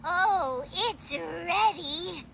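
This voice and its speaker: A woman voicing a tiny, excitable, childlike fairy companion in a video game, with a squeaky voice and bubbly, emphatic delivery. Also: drawn-out words, creaky, background noise, exaggerated voice. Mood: excited, proud. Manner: bubbly, emphatic, refers to itself in third person. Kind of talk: animated video game voice acting.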